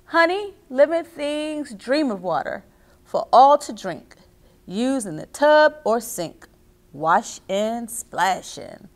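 A middle-aged woman reading and explaining to children as a story time host, expressive and speaking directly to her young listeners. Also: fairly high pitch, takes it slowly. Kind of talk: children's read-aloud and instruction. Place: small indoor reading nook with bookshelves.

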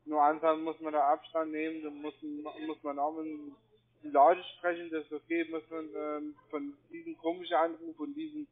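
Man, foreign accent, high voice, tinny phone line, insistent salesman, halting repetitive phrasing. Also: flat delivery. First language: not German. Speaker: call center agent selling trading courses. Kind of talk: persuasive phone sales talk. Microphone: telephone.

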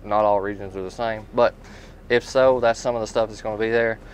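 A man in his thirties with a lowish voice talks in a folksy, rambling way about products. It is casual dealer talk, with some background noise. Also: fairly even intonation, a little fast, poor audio. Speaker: tool truck dealer. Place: inside a tool truck.

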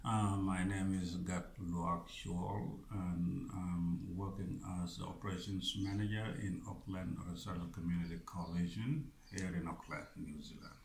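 A man in his forties from South Sudan, answering interview questions in a halting, earnest way, repeating words and restarting phrases. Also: sits low, steady tone, creaky, a little mellow, echoey room, a little hiss or hum, rough recording. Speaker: operations manager of a resettlement group. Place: small office room indoors. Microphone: camera a few feet away.

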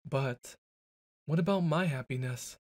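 A man's voice: on the high side, measured pace, a little mellow, dry recording. A young man performing a boyfriend ASMR roleplay, brash and teasing, stumbling into flustered stammers.

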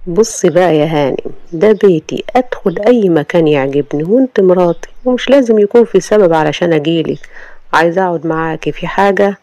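A storyteller voicing an arrogant, mocking rich husband with an Egyptian accent, giving cold commands. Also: male voice, high-pitched, fast, dark tone, no room sound. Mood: domineering.

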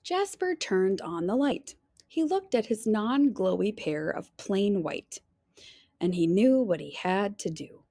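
Female storybook reader, teacherly, slow and very animated, with drawn-out dramatic emphasis, dry sound. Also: medium pitch, dry recording. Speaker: teacher reading a picture book aloud. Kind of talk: expressive children's storybook read-aloud.

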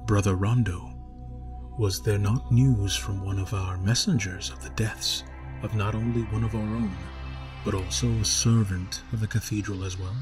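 A male narrator gives voice to a high priest whose low, smooth tone sounds kindly on the surface but carries something sinister underneath. He talks softly and coaxingly, in a measured storybook manner, with music playing clearly behind him. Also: fairly even intonation, close to an everyday voice. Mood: persuasive.

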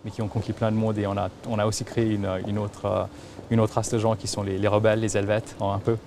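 A man in his thirties, a game designer, answering interview questions with frequent pauses and repeated words. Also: lowish voice, little pitch movement, noisy background. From Switzerland. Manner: hesitant, repeats words while thinking.

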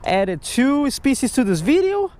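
A man giving casual, excitable running commentary while fishing outdoors at a creek, talking to the fish and his viewers, recorded on a chest-mounted action camera. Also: extremely high pitch, a little slow. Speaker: fishing vlogger. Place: outdoors by a creek under a stone bridge.

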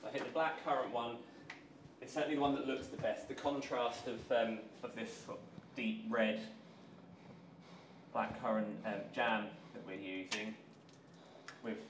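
A man, a dairy worker, casually explaining his work in an unhurried, modest, informal way. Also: mid-range voice, fairly even intonation. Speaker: dairy skyr maker. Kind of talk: casual explanation while working. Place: small dairy production room, indoors. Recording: echoey room with clear machinery noise.

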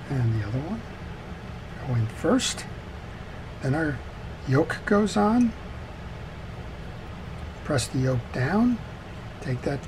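Man with a creaky, higher-pitched voice. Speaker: fishing reel repairer presenting a tutorial. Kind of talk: hands-on instructional demonstration, casual step-by-step talk. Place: indoors at a wooden workbench. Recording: steady background noise.

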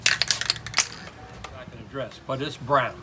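An older man demonstrating woodworking in an open workshop, talking in a halting, rambling way full of restarts. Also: medium pitch, fairly even intonation, very noisy. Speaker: woodturner demonstrating. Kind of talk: casual hands-on demonstration.

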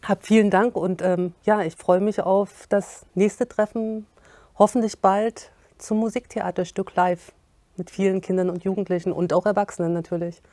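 A woman in her thirties hosting an interview, asking questions and making announcements in a friendly, measured way. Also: mid-range voice, fairly even intonation, mellow, no room sound. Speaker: host. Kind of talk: moderating an event interview. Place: indoor room with curtains.

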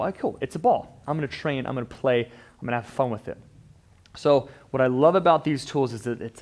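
Enthusiastic man teaching in a gym. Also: medium pitch. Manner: enthusiastic, emphatic, repeats words when restarting. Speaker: fitness educator. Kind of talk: unscripted equipment walkthrough to camera. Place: indoor gym studio with turf floor.

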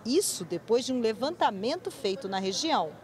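A woman's voice: a little high, very animated, moderate pace, crowd noise. A woman with a Brazilian accent narrating a scripted television news report.

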